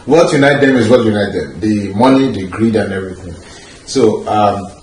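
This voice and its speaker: A man interviewing a guest, making his points in a measured way from a sofa in a living room. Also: on the low side, animated, darkish tone. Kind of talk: conversational interview. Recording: roomy echo, faint room noise.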